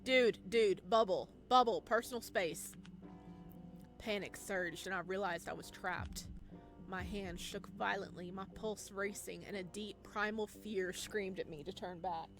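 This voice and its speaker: A woman's voice: mid-range voice, unhurried, music in the background. A woman reading a visual novel game aloud, with dramatic, theatrical narration and playful reactions. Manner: expressive, theatrical.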